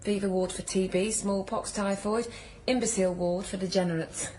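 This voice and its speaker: A woman's voice: mid-range voice, steady tone, moderate pace, a little mellow, slight background noise, lightly acted. An actress playing a touchy, flippant, bookish woman in a naturalistic radio drama, speaking in a wry, guarded way. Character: touchy, flippant, bookish woman visiting her old friend.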